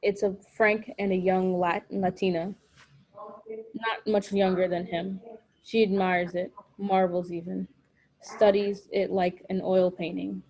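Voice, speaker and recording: A woman reading scripted stage directions as narrator of a drama, in short, measured phrases. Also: mid-range voice, flat delivery, darkish tone, low-quality recording.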